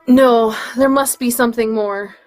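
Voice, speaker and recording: A young woman playing a lonely, weary survivor who muses aloud haltingly in a radio drama read. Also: on the high side, steady tone, darkish tone, faint music behind, lightly acted. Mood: lonely, despairing. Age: young adult. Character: lonely, weary, dazed survivor talking to self. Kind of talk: live table read of old radio drama.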